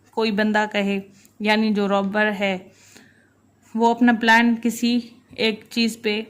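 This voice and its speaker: A woman lecturing on legal text, reading out each clause and then explaining it in a didactic way, often checking in. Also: medium pitch. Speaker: law lecturer.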